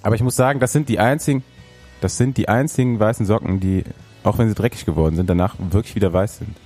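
A man's voice: fairly low pitch, quick pace, darkish tone, soft background music. A former pro cyclist chatting on a podcast, a man who talks in a laid-back way and keeps tagging his sentences with a questioning "right?". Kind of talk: casual podcast chat.

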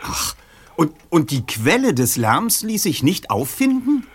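An actor playing a courteous, brainy detective in a German audio drama, with formal, precise wording. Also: male voice, on the high side, very animated, unhurried, breathy edge, heavily acted. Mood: inquiring.

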